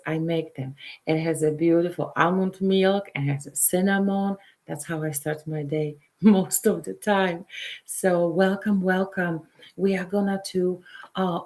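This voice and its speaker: A middle-aged woman with a Polish accent guides listeners through a calm meditation and shares her own story. Her voice sits fairly low and her pace is very slow and unhurried. She speaks softly and at times sinks into a whisper. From Poland. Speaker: intuitive counselor and show host.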